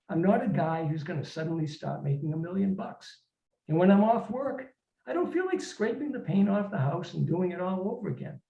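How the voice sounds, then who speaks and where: In a roomy, slightly muffled recording, a man reads the part of a put-upon husband in his forties from a short story. He is depressed and defensive, grumbling in weary everyday language with a mellow tone. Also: high-pitched, creaky, pitched above the performer's own voice. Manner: weary, complaining, colloquial.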